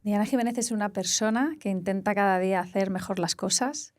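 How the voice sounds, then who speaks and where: A woman in her forties from Castilla-La Mancha, a psychologist speaking in a relaxed interview, explaining with examples. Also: medium pitch, brisk, dry recording, clean studio sound. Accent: Spanish from Castilla-La Mancha. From Spain. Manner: reflective, explains with examples and rephrases. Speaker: psychologist guest. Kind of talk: conversational interview.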